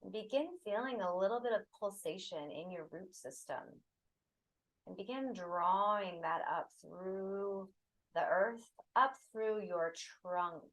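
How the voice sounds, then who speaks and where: A woman leading a guided meditation, giving soothing, unhurried instructions in short phrases with pauses between them. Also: mid-range voice, fairly bright tone, rough recording.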